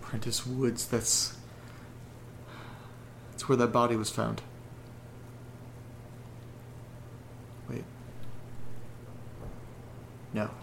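A man playing an uneasy horror podcast host who reads letters aloud, earnest and deliberate, with pauses between phrases. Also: mid-range voice, little pitch movement, vocal fry, background noise. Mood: shaken, realizing. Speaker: audio drama podcast host character reading listener letters. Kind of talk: dramatic narration in a horror audio drama.

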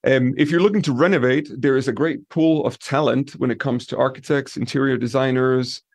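A man from Denmark talks through the practical side of renting out and managing property. His voice has a gravelly edge, and the sound is dry and close, with no room echo. He lays out points calmly and backs them with examples. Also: medium pitch, brisk. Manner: relaxed, practical, explains with examples. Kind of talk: podcast interview.

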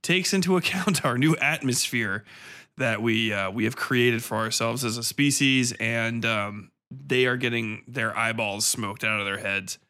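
Bright-voiced American man rambling as podcast host. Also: medium pitch, unhurried. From Cincinnati, American Midwest. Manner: irreverent, sweary, self-deprecating. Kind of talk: casual rambling solo chat.

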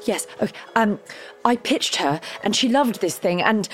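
A woman plays an excitable wife in a naturalistic audio drama. Her delivery is very animated, flustered and effusive, full of self-doubt, with faint music behind her. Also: medium pitch, normal speed, close to an everyday voice. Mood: flustered, excited.

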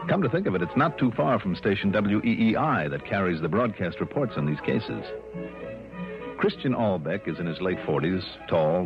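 An American actor playing a breezy, wisecracking insurance investigator in early 1960s radio drama, wry and casual. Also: male voice, sits low, highs cut off. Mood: brisk, dry narration. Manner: wry, brisk, casual banter. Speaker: lead actor and narrator of a radio detective series. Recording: band-limited vintage radio recording, music behind.

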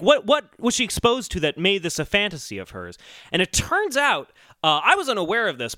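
A man hosting a comedy podcast, bantering casually and sometimes reading a story aloud in an excitable, mock-earnest way. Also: high voice, no room sound, clean studio sound.

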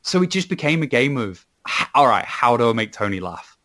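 A man's voice: medium pitch, big pitch swings, fairly quick, pushed and bright. A Welsh man, a podcast co-host, chatting casually about football and rambling with many restarts. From Wales. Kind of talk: casual chat.

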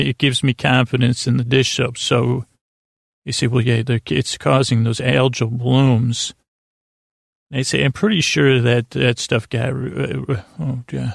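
An American man hosting a sleep podcast, rambling in a halting, meandering monologue with stammers and frequent self-corrections. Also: mid-range voice, gravelly, no echo, studio quality. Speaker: podcast host.

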